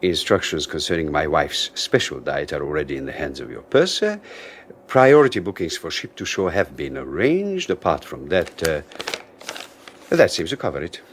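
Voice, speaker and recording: An actor playing a resentful young husband in a radio drama, crisp, formal and irritable. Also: male voice, extremely low pitch, sing-song, moderate pace, slight background noise. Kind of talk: 1980s radio drama acting.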